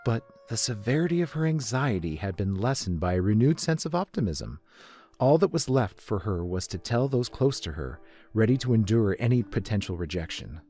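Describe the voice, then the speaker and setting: A man's voice: medium pitch, very animated, normal speed, mellow. A man narrating a scripted story as a reader, setting the scene between characters' dialogue. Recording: music under the voice.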